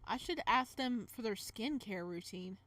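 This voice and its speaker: A woman hosting a casual visual novel playthrough, reading narration aloud and adding playful, expressive side comments. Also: a little high, measured pace, no echo. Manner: expressive, playful reactions between read passages.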